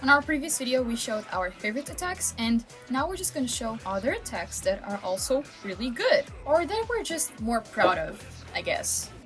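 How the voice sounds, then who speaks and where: Girly high voice, woman chatting about drawings, super animated intonation, music in back. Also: normal speed. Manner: chatty, encouraging. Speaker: co-host, digital artist. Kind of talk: casual speedpaint commentary. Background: background music.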